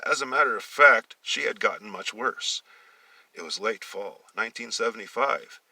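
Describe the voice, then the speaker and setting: Forceful, bright-voiced man reading a story aloud. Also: fairly low pitch, gravelly, husky, no room sound, recorded from a distance. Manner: halting, with misreadings and repeated phrases. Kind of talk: amateur dramatic story reading.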